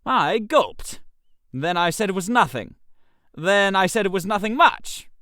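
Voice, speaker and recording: A man narrating a comic first-person story in an expressive, theatrical way. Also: sits high, a little slow, no echo, studio quality.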